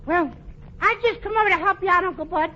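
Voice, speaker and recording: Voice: very high-pitched, fast, sharp tone. An actor playing a cheeky, dim-witted young nephew in a 1940s radio comedy, wisecracking with mock innocence. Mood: cheeky, mock-innocent. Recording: dull lo-fi old radio recording, faint noise.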